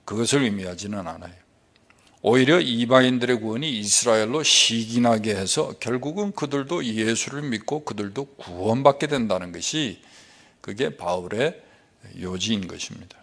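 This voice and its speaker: A man pastor preaching a sermon, talking in quick runs with frequent fillers between phrases. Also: medium pitch, fairly bright tone.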